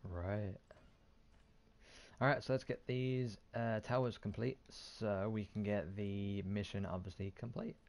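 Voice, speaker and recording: Male gaming streamer: lowish, gravelly, casual muttered commentary, self-deprecating. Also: steady tone, measured pace, a little mellow. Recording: faint game sound behind voice.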